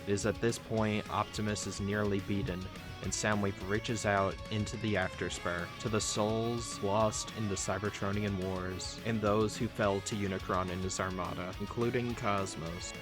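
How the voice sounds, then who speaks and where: A man's voice: on the low side, steady tone, normal speed, hardly any pauses. A man reading scripted, dramatic narration for a story recap video, with music playing behind him. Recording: loud music under the voice.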